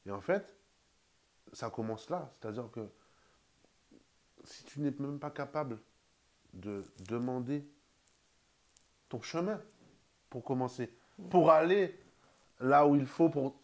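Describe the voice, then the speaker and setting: A man's voice: mid-range voice, slow, pauses often. A man in casual conversation, reflective and hesitant, restarting his sentences often.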